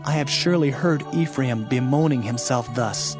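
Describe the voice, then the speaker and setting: A man's voice: medium pitch, normal speed. A man narrating a dramatized scripture audiobook in a solemn, formal, declamatory manner, with music behind him.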